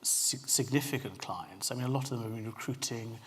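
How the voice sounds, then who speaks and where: A man's voice: mid-range voice, brisk, slight background noise. A man moderating a panel discussion, putting questions to the panelists by name. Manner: probing, addresses panelists by name.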